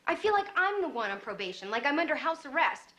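Bright-voiced, pleading teenage daughter. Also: female voice, medium pitch, moderate pace, dry recording. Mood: pleading, frustrated. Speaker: actress. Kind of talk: naturalistic TV drama acting.

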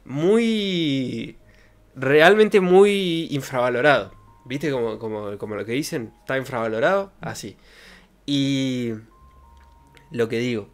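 A young man with an Argentine accent, a streamer rambling in casual, jokey slang close to a desk microphone. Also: mid-range voice. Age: young adult. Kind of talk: casual livestream chat. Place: small bedroom streaming setup. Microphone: desk microphone with pop filter, close.

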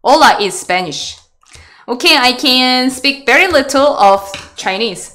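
Bright-voiced Korean woman teaching online. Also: high-pitched, animated. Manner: chatty, switches languages, answers chat questions in halting English. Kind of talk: live online lecture Q&A. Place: small room indoors. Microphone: webcam on video call.

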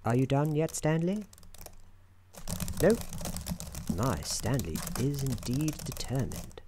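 A soft-spoken man giving a scripted ASMR roleplay narration in a dryly wry, deliberate storybook manner. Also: mid-range voice, sing-song. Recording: keyboard typing and clicking noise. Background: keyboard typing, clicks.

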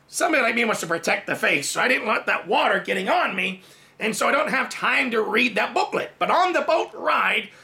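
A man in his forties preaches from his home study, raised in Thailand and telling his own story with lively, sweeping gestures. His voice sits high and rings with a sharp, bright edge, and it leaps up and down as he tells it. A gravelly rasp shows through at the bottoms of his phrases. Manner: animated storytelling with big hand gestures. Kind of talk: sermon testimony. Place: home study with bookshelves, indoors.

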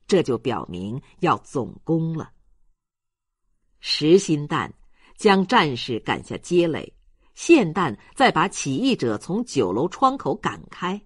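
Female audiobook narrator, lower register, close dry sound, scripted storytelling. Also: slightly rough, no echo.

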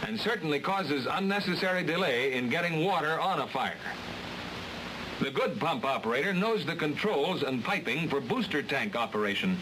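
A man narrates a training film in a steady, matter-of-fact way, his voice a little high and moving lively up and down. The sound comes from an old film transfer, with plain hiss underneath. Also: low-quality recording. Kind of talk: scripted instructional narration.

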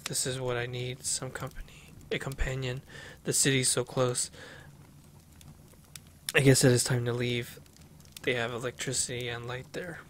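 A young man streaming a horror game from a small room at home, reading its notes and diaries aloud in an even, steady way over his headset mic. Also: mid-range voice, moderate pace, pauses often, a little hiss or hum. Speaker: gameplay streamer. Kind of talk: reading game text aloud while playing. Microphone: headset with desk mic.

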